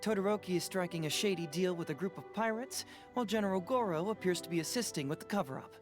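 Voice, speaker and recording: A man's voice: sits high, expressive, quick pace, over music. An actor playing a shrewd young male detective in a video game, probing and playfully skeptical.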